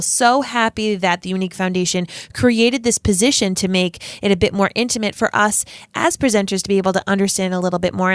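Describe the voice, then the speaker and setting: A woman's voice: medium pitch, clean tone, no room sound, studio quality. Bubbly Rhode Island woman podcaster. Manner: bubbly, chatty, self-deprecating. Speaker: podcast host. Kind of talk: casual podcast chat. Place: home basement. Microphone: studio microphone.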